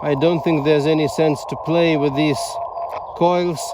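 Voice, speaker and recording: A man talking casually and thinking aloud in halting phrases as he demonstrates amateur radio gear outdoors. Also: fairly high pitch, steady tone. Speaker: amateur radio operator demonstrating his portable setup. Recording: loud outdoor ambient noise.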